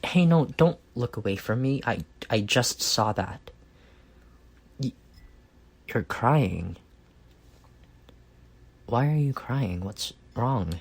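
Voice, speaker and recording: Man acting as reserved best friend, male, lowish voice, unhurried delivery, soft-spoken, hesitant, earnest, intimate audio roleplay. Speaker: voice actor.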